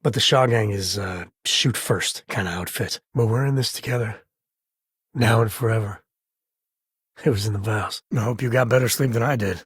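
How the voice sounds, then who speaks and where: Rugged space cowboy, American accent, very raspy, folksy, wry, close dry sound, video game dialogue. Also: male voice, fairly low pitch, no echo. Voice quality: husky, rasping.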